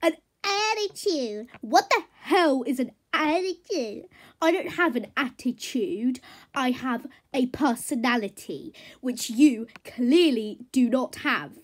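A woman voicing a spoiled, dramatic, easily offended mare in a children's horse story, with snobbish, drawn-out, indignant complaints. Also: on the high side, darkish tone, breathy edge. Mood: snappy, offended. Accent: put-on accent unlike the other characters'.

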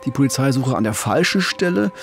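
Gravelly German man telling true crime. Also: medium pitch, animated, fairly quick, faint music behind. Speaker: podcast co-host. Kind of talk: conversational true-crime storytelling.

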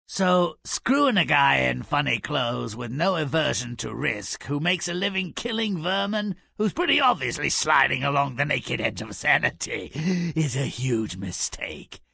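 A man playing a gleefully cynical, grubby little imp who narrates video game fairy tales in a raspy, mocking, hammy way. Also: medium pitch, expressive, a little slow, bright, forceful tone, no echo, studio quality, heavily acted. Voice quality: gravelly, raspy. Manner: sardonic, mocking, hammy delivery.

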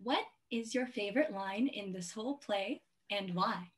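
A young woman student asking interview questions on a video call from home, quick and giggly. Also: mid-range voice. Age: young adult. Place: home room, indoors. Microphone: laptop webcam on video call.

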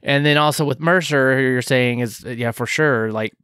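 A man co-hosting a casual podcast, talking in halting stops and starts with lots of fillers. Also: medium pitch, a bit bright, clear, resonant tone, no echo, clean studio sound. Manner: halting, many fillers and restarts. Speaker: podcast co-host.

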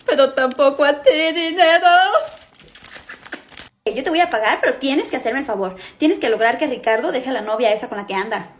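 High-voiced young woman acting a sketch. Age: young adult. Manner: lively, quick character switches. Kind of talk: comedy sketch playing several characters. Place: small indoor room at home.

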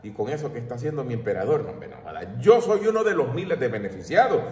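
A man's voice: mid-range voice, big pitch swings, fast talker, a little mellow. A middle-aged Salvadoran man giving a brash, slangy, emphatic opinion rant in an informal style. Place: small home room with shelves, indoors. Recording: roomy echo, distant mic.

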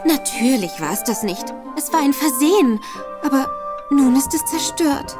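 A coy, sly young woman in an audio drama. Her polite voice sits fairly high and moves with lively melody over music. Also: moderate pace. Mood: alarmed. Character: coy, sly young woman feigning innocent servant-girl respectability.

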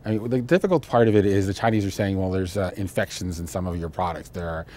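An older man giving measured, explanatory analysis as an expert in a studio interview. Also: sits low, darkish tone. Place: indoor studio, seated interview.

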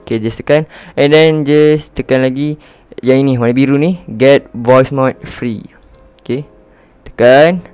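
A man's voice: mid-range voice, a little slow, a little mellow, clean tone, dry recording, soft background music. A young man with a Malaysian accent giving a casual, informal tutorial, talking straight to his viewers in slangy everyday speech. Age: young adult. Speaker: YouTube host.

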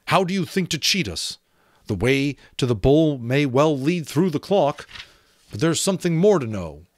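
A man's voice: on the high side, big pitch swings, normal speed, voice pushed up. A man voicing an indignant, doubtful magician in a fantasy story, questioning and insistent. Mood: cold, demanding.

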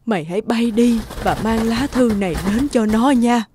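A woman playing a loving, worried old peasant mother in an animated fairy tale, with a husky, mellow voice. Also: on the high side, normal speed, soft background music, close to an everyday voice. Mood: longing, pleading.

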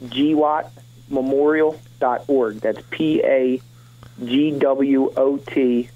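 A man's voice: medium pitch, fairly even intonation, slow, phone-quality sound. A man who is a guest on a radio show, speaking earnestly about a memorial with frequent fillers, heard over a call-in line. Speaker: guest, veterans memorial organizer. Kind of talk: radio interview.

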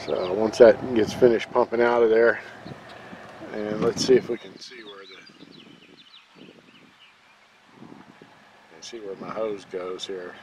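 A man's voice: lowish voice, fairly even intonation, slow, long pauses, noisy background. A man casually narrating his own outdoor project, easygoing and rambling, recorded on a handheld camera in an open field.

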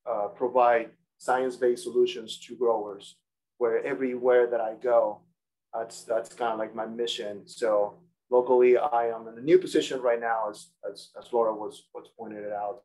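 A man who grew up in Peru gives an academic seminar over a video call. His delivery is halting, full of restarts and repeated words as he works through his points. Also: mid-range voice, a little mellow, low-quality recording. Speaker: seminar speaker, entomologist.

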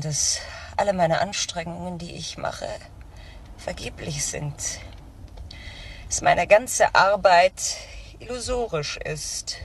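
An actress playing a fervently protective care worker in a crime radio play, earnest and intense. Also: female voice, on the low side, distant mic, slight background noise. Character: fervently devoted female care worker protecting a troubled girl. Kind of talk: German crime drama dialogue.

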